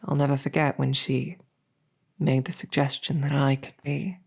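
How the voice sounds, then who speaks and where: Woman acting a low-voiced knight, smitten and halting. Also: level tone, gravelly, husky, full character voice. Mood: smitten, awkward. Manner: halting, self-deprecating, colloquial tag questions. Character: smitten lowborn female royal guard, snarky, awkward, earnest knight. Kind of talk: scripted audio roleplay, halting heartfelt banquet speech.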